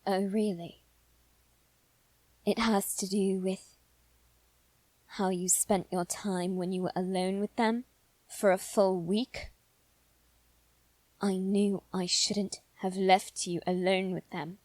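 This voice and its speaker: Woman acting as anxious boy aristocrat, formal wording, halting slow pace, dramatic pauses, roleplay audio drama, very dry sound. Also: medium pitch, no echo, lightly acted. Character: anxious aristocratic boy lord bound to demons. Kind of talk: scripted ASMR roleplay addressed to the listener.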